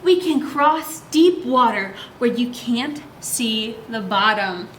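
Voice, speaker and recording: Woman voicing tiny boastful creatures, high and slow. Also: animated, a bit bright. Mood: proud, boastful. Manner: slow, emphatic, proud declarations. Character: band of tiny, boastful, bossy forest creatures. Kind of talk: picture book read-aloud for young children. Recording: roomy echo, faint background noise.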